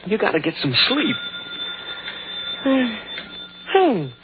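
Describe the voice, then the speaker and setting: A man's voice: high voice, very animated, drawn-out words, exaggerated voice. A man playing an eager, boyish young son who assists his detective father in a vintage radio drama, informal and full of questions. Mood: concerned, casual. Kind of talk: old-time radio detective acting. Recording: tinny, hissy vintage radio recording.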